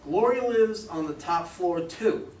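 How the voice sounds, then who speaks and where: A man's voice: high-pitched, animated, unhurried, darkish tone. A man in a staged play reading as a suspicious, decisive investigator boss, with an emphatic, theatrical delivery. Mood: stern, probing. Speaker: reader in a community play reading. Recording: distant mics, echoey room, faint noise.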